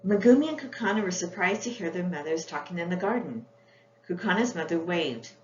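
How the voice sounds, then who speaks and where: A woman's voice: lowish voice, animated, fairly quick. A woman, a children's author, reads scripted storybook narration aloud. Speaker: children's author reading her book. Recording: roomy, muffled, with faint background noise.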